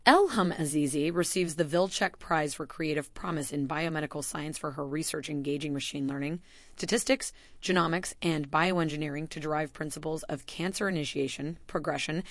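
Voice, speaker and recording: Low, gravelly woman announcer, dry studio sound. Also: no echo. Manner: measured formal announcing, pausing between list items. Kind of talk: scripted voice-over narration.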